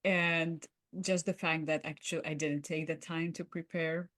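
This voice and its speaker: A woman's voice: sits low, level tone, normal speed, fairly bright tone. A woman co-hosting a podcast, talking in a conversational, reflective way as she explains her observations. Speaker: podcast co-host. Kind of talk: conversational debrief of a roleplay.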